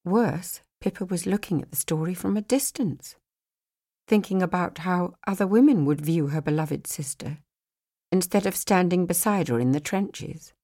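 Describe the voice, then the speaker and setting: A woman's voice: fairly low pitch, moderate pace, dark tone. A woman reading a novel aloud as an audiobook narrator in a scripted storytelling style.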